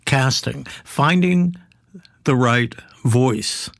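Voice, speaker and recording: A middle-aged man hosting a podcast conversationally, halting and emphatic, often repeating phrases to drive them home. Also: mid-range voice, creaky, no echo, clean studio sound. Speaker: podcast host.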